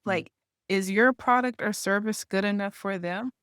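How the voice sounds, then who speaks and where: A woman's voice: mid-range voice, moderate pace, a bit bright, smooth, dry recording, clean studio sound. A woman guest on a podcast interview, explaining her points step by step and checking in with "right?". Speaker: podcast guest, marketing association founder. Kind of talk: conversational interview.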